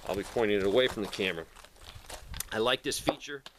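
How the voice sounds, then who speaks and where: A man's voice: on the low side, quick pace, slight background noise. A man talking casually to camera in a plainspoken, rambling way, like a video host showing his gear. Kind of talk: casual product talk to camera.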